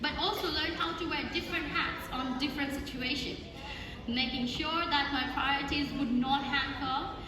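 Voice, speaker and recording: A young woman, not a native English speaker, giving an earnest, grateful valedictorian speech at a graduation. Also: high voice. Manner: earnest, grateful, listing achievements. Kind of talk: prepared speech. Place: large ceremony hall. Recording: echoey hall from far off, noisy.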